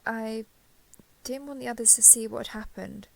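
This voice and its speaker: A woman playing a caring, apologetic young dragon girl giant in an intimate roleplay audio drama, talking gently and hesitantly to the listener. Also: a little high, fairly even intonation, moderate pace, creaky. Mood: sheepish, explaining.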